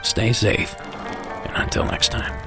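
A man hosting a podcast, reading his script in a low-key, measured way. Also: over music. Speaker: podcast host.

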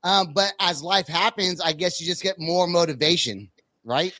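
A man's voice: sits high, moderate pace, bright, forceful tone, dry recording, clean studio sound. A man hosting a podcast, talking informally in a casual interview style. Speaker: podcast host.

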